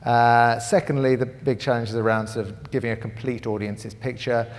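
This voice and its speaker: A man from the United Kingdom speaking as a conference panelist, measured and full of "uh" fillers. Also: mid-range voice, flat delivery. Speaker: panel speaker, head of audiences. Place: conference hall. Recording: large hall reverb.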